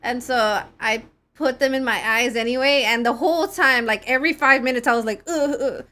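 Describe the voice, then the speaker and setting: High-pitched young woman streaming casually. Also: fairly quick, sharp tone. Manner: informal, sweary, giggly. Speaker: video game streamer. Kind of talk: casual live-stream chat with viewers. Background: game music.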